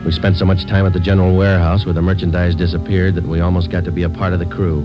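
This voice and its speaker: A man playing a terse, weary police sergeant in a deadpan, clipped 1940s radio drama style. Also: low-pitched, racing, lightly acted. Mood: matter-of-fact. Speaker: actor, lead and narrator of police radio drama. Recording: dull vintage radio recording with music.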